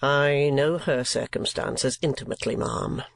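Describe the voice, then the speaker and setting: A woman voicing a blunt, stern gentleman in a storybook reading, speaking in a clipped, deliberate way. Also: very deep voice, creaky, dry recording, full character voice.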